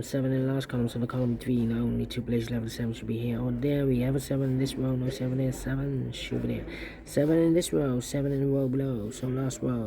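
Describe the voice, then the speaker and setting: A man's voice: mid-range voice, vocal fry. A man giving a step-by-step puzzle tutorial, thinking aloud and often repeating short phrases as he mutters to himself. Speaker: puzzle instructor.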